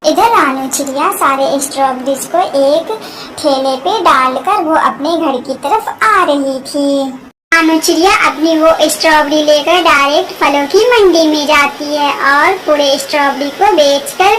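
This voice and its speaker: A woman's voice: high voice, unhurried, noisy background. A woman reading scripted narration for a children's cartoon story.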